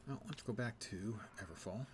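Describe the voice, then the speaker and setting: A man's voice: lowish voice, moderate pace. A man streaming a game, giving casual commentary and muttering his thoughts aloud to himself. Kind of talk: casual live gameplay commentary. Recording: clear background game noise.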